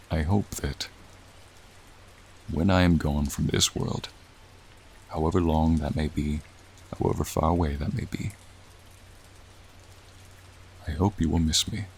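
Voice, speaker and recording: A man playing a gentle, wistful, courtly dragon in a soothing ASMR roleplay, speaking formally and tenderly. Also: fairly even intonation. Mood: lonely, tender. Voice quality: low, creaky, soothing. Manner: slow, formal, tender, reflective storytelling. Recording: faint rain noise behind. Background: rain ambience.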